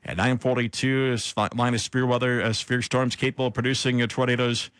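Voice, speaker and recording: An American man anchoring live severe weather coverage on radio, speaking haltingly as he improvises between read-out bulletins. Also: mid-range voice, flat delivery, a bit bright, clean tone, no room sound.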